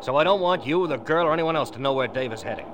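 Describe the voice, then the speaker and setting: A man's voice: animated, fast talker, lightly acted. An actor playing a curt, threatening American tough guy with a gun in 1940s radio drama, talking in slang. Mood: menacing. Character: menacing slangy male gunman protecting his younger brother. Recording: noisy old radio recording, vehicle rumble.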